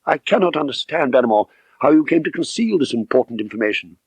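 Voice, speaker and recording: A man voicing an inquisitive gentleman baronet in an audiobook, direct and questioning, puzzled yet eager. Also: medium pitch, lively intonation, fast, slightly rough, dry recording. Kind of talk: audiobook character dialogue.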